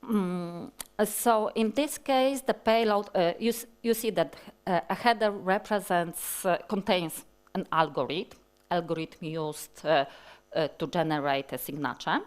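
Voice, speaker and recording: A woman in her forties with a Polish accent, giving a technical conference talk from a large hall stage, speaking slowly and deliberately with many fillers and restarts. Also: fairly low pitch, smooth.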